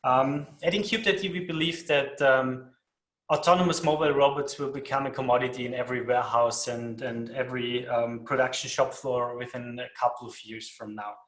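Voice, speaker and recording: A man with an Austrian accent presents his company in a webinar at a middling pitch. He speaks steadily, with occasional "um" fillers. His voice carries a noticeable room echo. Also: fairly even intonation, a little fast, fairly bright tone. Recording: roomy echo.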